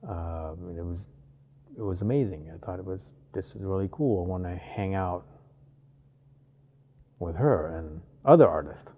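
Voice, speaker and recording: A man in his fifties from Malaysia, an artist talking about his life in an interview, unhurried and full of pauses and fillers. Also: sits low, mellow. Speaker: artist guest. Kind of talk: relaxed interview conversation.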